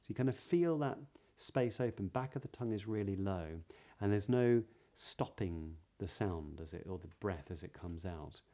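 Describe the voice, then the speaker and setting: Calm southern English voice coach. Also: male voice, fairly low pitch, moderate pace. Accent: Southern British English. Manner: clear, demonstrative, breathy example sounds. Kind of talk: pronunciation lesson.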